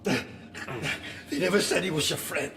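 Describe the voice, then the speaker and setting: A man's voice: fairly high pitch, slow pace, bright, forceful tone. A man acting a nervous, officious attendant in a radio drama, heard in an echoing room. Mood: frightened. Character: officious male attendant turned frightened underling. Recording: echoey tiled room, long reverb.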